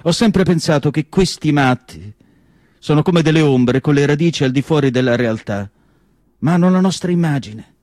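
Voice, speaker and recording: A man playing a reflective, compassionate asylum doctor in a theatrical literary monologue, speaking deliberately with dramatic pauses. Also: a little high, expressive, a little mellow, dry recording, studio quality, close to an everyday voice. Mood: compassionate, musing. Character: male asylum doctor-writer, reflective, compassionate, solemn witness. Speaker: stage actor reading a literary monologue.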